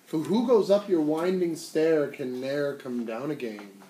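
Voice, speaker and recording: Male reader as a little female fly, raised pitch, polite, wary, pleading, storybook read-aloud, slightly distant mic. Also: unhurried, warm tone, natural voice. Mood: alarmed refusal. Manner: pleading, higher-lifted voice. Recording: roomy, distant mic, faint noise.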